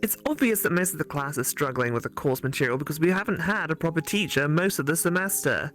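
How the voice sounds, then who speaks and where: A woman voicing a teasing, studious female ghost classmate in a visual novel, speaking deliberately and articulately. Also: low voice, animated, a bit bright, faint music behind. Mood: indignant, serious. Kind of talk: visual novel character read aloud for a let's play.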